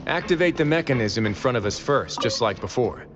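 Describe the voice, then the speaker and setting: A man playing a composed, self-assured leader in a video game, speaking in a calm, measured, matter-of-fact way. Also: mid-range voice, dull audio, music in the background, lightly acted. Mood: calm, focused. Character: composed, self-assured man in authority, cool-headed fighter.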